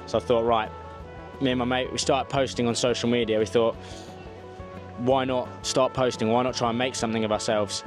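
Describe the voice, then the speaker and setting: Flat-toned man over music. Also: mid-range voice, fairly quick. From London. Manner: earnest, repeats "you know". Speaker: fitness coach, interviewee. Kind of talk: casual interview. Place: indoor beach café. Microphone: handheld mic. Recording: music playing behind.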